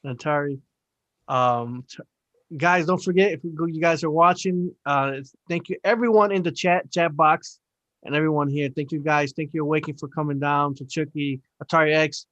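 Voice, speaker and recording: A forty-year-old man hosts a casual podcast, thanking his guest in loose, filler-heavy sentences. His voice sits a little high and sounds close and dry, with no room around it. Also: normal speed, a bit bright, dry recording, highs cut off. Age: 40s. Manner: rambling, filler-heavy, upbeat. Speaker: podcast host. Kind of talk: casual video-call podcast chat.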